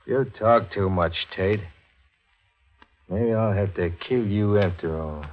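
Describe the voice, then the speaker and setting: An actor playing a cold, menacing phantom killer in a 1940s radio thriller, speaking in terse threats. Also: male voice, lowish voice, slow pace. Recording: dull 1940s radio recording.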